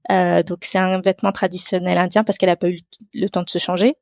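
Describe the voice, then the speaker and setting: A woman's voice: on the low side, little pitch movement, quick pace, gravelly, a little mellow, no room sound, lo-fi sound. A woman whose first language is Tamil, reflecting on her own life in an unscripted interview, searching for her words as she goes. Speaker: interview guest.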